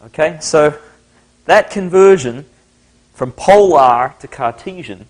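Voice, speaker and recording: A man's voice: on the high side, expressive, slow pace, darkish tone, low-quality recording. Middle-aged Australian male lecturer, conversational, thinks aloud at the board. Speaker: maths lecturer. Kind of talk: live classroom lecture.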